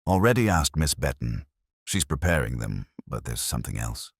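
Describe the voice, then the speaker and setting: A man playing an earnest, inquisitive police sergeant in a mystery story, with a husky voice. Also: extremely low pitch, very animated, normal speed, no room sound, studio quality, exaggerated voice. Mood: intent, questioning.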